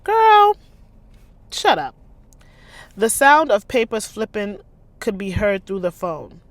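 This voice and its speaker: A woman's voice: medium pitch, very animated, takes it slowly, no echo. A woman author reading her own novel aloud, with sassy, incredulous, slangy commentary in a casual style.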